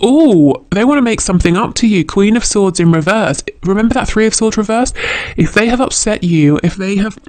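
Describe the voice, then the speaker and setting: A man with a fairly high voice reads tarot for the listener. He speaks off the cuff, reflecting aloud as he interprets. Phrases often get repeated or reworked along the way. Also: lively intonation, brisk, no room sound. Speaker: tarot reader. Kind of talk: intuitive tarot reading, unscripted, addressed to the listener.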